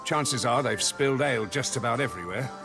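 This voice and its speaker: An actor playing a proud middle-aged tavern keeper and former sailor, chatty and hospitable in a bluff way. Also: male voice, mid-range voice, a little fast, gravelly, natural voice. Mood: businesslike. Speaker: innkeeper. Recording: game soundtrack under the voice. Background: music.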